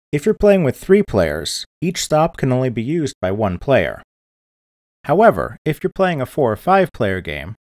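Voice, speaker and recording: A man giving scripted instructional narration in a clear, methodical, step-by-step manner, as a tutorial presenter. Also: mid-range voice, dry recording, studio quality. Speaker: board game tutorial presenter.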